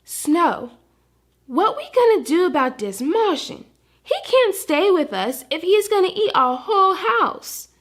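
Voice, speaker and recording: A woman's voice: very high voice, big pitch swings, measured pace, voice pushed up, full character voice. A woman voicing a mischievous, earnest little boy puppy with babyish grammar in a children's storybook. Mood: concerned, kind. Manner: babyish grammar, says dat for that. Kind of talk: read-aloud children's storybook character.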